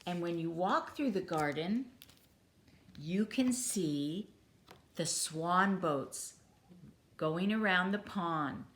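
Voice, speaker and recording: A woman reads a children's story aloud in a slightly low voice. Her pace is very unhurried, and her phrasing is deliberate and expressive. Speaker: storybook reader. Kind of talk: children's picture book read-aloud.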